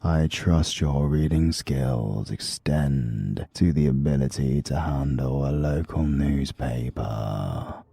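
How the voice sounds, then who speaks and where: Man doing a very deep, slow, softly menacing British killer, gravelly and husky. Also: no room sound, full character voice. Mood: cold, mocking. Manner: slow, soft, measured, coldly polite. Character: cold, soft-spoken British assassin man, polite menace.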